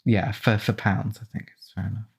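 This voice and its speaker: A man's voice: on the low side, level tone, brisk, slightly rough. A man giving informal film commentary as an author, chatty and discursive in the way he talks. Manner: chatty, discursive, reading out quotes.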